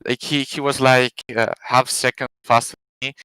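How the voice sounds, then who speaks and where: Bright, crisp-voiced hesitant male driver. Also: medium pitch, level tone, no echo, low-quality recording. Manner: hesitant, halting with fillers. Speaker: racing driver being interviewed.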